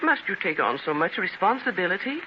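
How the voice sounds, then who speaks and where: An actress playing a shrewd, affectionate, teasing wife who gently chides her husband in a 1930s radio drama. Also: on the low side, brisk. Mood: chiding. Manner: expressive, gently chiding. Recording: noisy, dull 1930s radio transcription.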